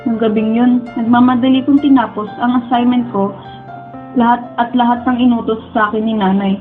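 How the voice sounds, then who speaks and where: A woman's voice: fairly high pitch, steady tone, a little fast, lightly acted. A woman with a Filipino accent playing a wistful, lovestruck young student who narrates her dreams in a radio drama. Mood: sad, worried. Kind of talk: first-person radio drama narration and dialogue. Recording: dull lo-fi audio, music bed. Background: background music.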